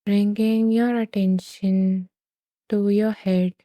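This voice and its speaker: A woman leading a guided meditation in a slow, soothing way, with long gentle pauses. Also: mid-range voice, level tone, no room sound.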